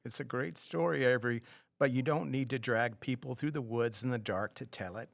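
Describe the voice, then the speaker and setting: A man's voice: mid-range voice, a little fast, vocal fry, no echo, lightly acted. An actor playing a hearty, fussy middle-aged tour guide and father in an audio drama, genial and a little formal. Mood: doubtful.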